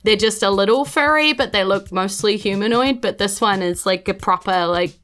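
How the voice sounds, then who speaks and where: A woman's voice: medium pitch, moderate pace, fairly bright tone. A woman with a New Zealand accent, improvising narration as a game master in a casual, conversational way. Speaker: game master, podcast host.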